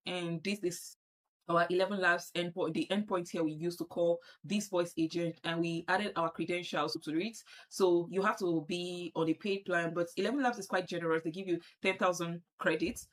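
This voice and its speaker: A Nigerian woman with a Nigerian accent walking viewers through a software setup on screen, often repeating herself as she clicks. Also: lowish voice. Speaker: tutorial presenter. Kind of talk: screen-share software tutorial.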